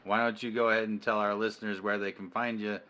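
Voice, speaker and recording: A man co-hosting a casual sports podcast, talking in a measured way with hesitant restarts. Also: fairly low pitch, level tone, sharp tone, highs cut off, slight background noise. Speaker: podcast co-host.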